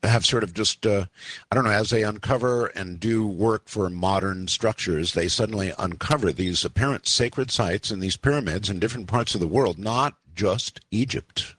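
A man's voice: on the low side, moderate pace, fairly bright tone, dry recording, poor audio. A man hosting a live radio call-in show, talking with guests and callers. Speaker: radio host.

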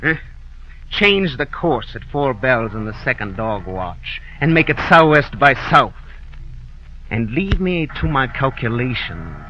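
An actor playing an obsessed, commanding old whaling captain in a radio drama, rising into grand declamation. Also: male voice, medium pitch, very animated, a little slow, lo-fi sound. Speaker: ship captain.

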